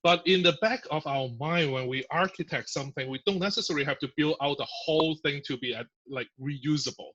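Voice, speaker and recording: Male guest, bright and forceful, chatty with fillers; dull lo-fi sound. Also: a little high, fairly quick, hardly any pauses, slight background noise. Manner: chatty, filler-heavy explaining with laughs. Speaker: podcast guest, software engineer. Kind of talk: casual interview. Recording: muffled lo-fi audio.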